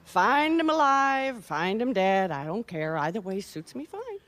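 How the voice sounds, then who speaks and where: An actress playing a cynical, brazen wife hiring a private detective in a radio mystery, with a wry, worldly manner. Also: female voice, mid-range voice, sing-song, unhurried, a bit bright.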